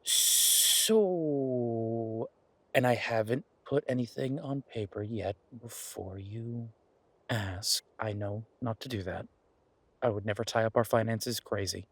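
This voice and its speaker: A man playing an affectionate, eager boyfriend in an audio roleplay, talking in a casual, halting, coaxing way with a husky voice. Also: on the low side, a bit bright, no echo, full character voice. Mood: eager, persuasive. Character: affectionate, eager boyfriend pitching grand ideas to his werewolf girlfriend.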